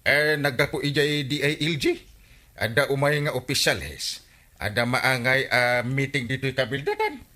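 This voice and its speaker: An actor playing a bossy, excitable village captain in a comedy radio drama, scolding and insisting with an Ilocano accent. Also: male voice, medium pitch, normal speed. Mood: self-important.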